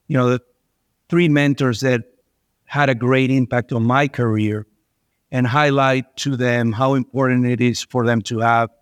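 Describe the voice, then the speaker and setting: Middle-aged man, smooth, dry. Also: medium pitch, no room sound. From Venezuela. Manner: hesitant, many fillers and restarts. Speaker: investment manager guest. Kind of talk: interview answers.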